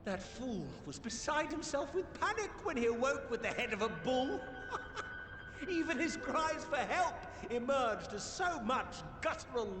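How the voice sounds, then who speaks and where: A man's voice: extremely high pitch, exaggerated voice. An actor playing a decadent, cruelly amused male aristocrat in a video game, gushing and affected. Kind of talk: video game cutscene acting. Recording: long echoing reverb under music.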